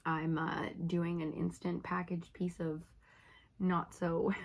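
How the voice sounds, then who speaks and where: A woman reading tarot for her viewers in a casual, chatty way, her voice slightly raspy from hours of talking, often checking in with "okay?". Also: lowish voice, little pitch movement, takes it slowly. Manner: chatty, laughing, uses filler like "okay?". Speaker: tarot reader.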